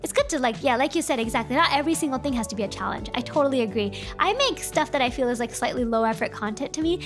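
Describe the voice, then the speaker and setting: Vtuber lady chatting w/ her viewers, kinda broken voice, higher pitch, rambly, soft music behind. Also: lively intonation, quick pace. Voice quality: slightly broken voice. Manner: rambling, chatty, answers chat. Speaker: VTuber streamer. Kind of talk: casual chat stream.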